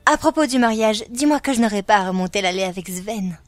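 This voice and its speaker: A woman playing a gentle, reserved, anxious royal elder sister in a fan dub, speaking in a restrained, measured way. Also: medium pitch, lively intonation, close to an everyday voice. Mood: dry, wary. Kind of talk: animated-fantasy fan dub acting in French. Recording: clear music behind the voice.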